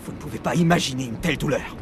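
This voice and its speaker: A man performs a tormented male colony leader resisting mind control in a video game. He is strained and desperate, halting between phrases. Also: mid-range voice, background noise, close to an everyday voice. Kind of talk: dramatic French game dub acting.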